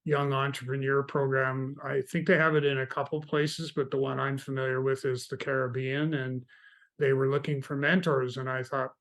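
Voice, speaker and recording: A man from Canada, a guest in an interview, telling stories about mentoring in a relaxed, meandering way. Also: mid-range voice, moderate pace. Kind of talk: casual interview chat.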